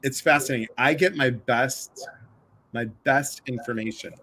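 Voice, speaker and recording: A man's voice: medium pitch, moderate pace, sharp tone, a little hiss or hum, poor audio. A man from the Chicago area hosting a casual livestream conversation, chatty and full of asides. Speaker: livestream host.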